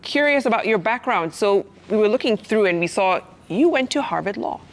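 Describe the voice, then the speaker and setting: A woman's voice: mid-range voice, animated, fairly quick. A woman hosting a TV interview, asking follow-up questions and giving brief affirmations. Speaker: TV interview host. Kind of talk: conversational TV interview.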